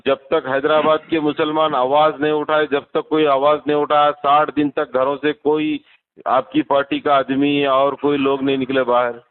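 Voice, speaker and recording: A man presses his accusations over a phone call, persistent and cutting in. His voice sits a bit high, and the line is thin and tinny. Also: little pitch movement, normal speed, smooth. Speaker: caller. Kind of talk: heated phone argument.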